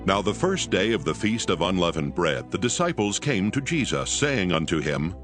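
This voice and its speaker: Very deep male scripture narrator. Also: big pitch swings, normal speed, a bit bright. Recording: voice over prominent music.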